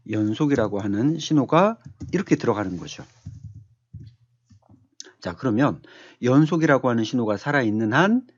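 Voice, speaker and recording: A man giving an unscripted software tutorial as an instructor, explaining step by step and asking rhetorical questions. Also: medium pitch, no echo, lo-fi sound. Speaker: automation instructor. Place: dry-sounding voice-over on a screen recording.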